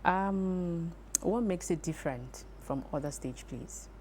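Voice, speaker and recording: A woman's voice: fairly low pitch, slow pace, warm tone, a little hiss or hum. A woman, chatting casually as an interview co-host.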